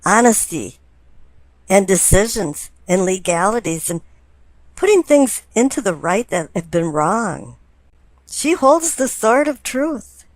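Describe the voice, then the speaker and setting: A woman with a fairly high voice reads tarot for her listener. She explains things deliberately, setting out one phrase after another. The recording is dry and close, with little room sound. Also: dry recording. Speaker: tarot reader. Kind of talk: spoken tarot card reading.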